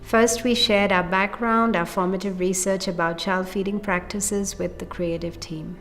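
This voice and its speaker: A woman's voice: mid-range voice, fairly even intonation, moderate pace, fairly bright tone. A woman explaining a project step by step, in the manner of a documentary interview. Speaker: project team member. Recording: music bed under speech. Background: music.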